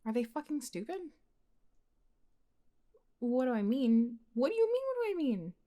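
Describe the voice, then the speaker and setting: A young woman acting as a blunt, secretly smitten best friend in an intimate, conversational ASMR roleplay, with a casual, expressive delivery. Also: high-pitched, moderate pace. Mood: indignant, fond. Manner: casual, expressive, sighing, sweary.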